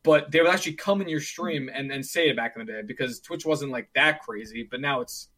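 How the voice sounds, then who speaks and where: A man's voice: mid-range voice, a little fast, pushed and bright. A man in his twenties chatting casually about gaming, rambling with lots of fillers and restarts. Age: 20s. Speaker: streamer.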